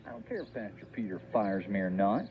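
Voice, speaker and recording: A man's voice: on the low side, wildly swooping pitch, fairly quick. A male announcer speaking over a shortwave radio broadcast. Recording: muffled shortwave radio with clear noise.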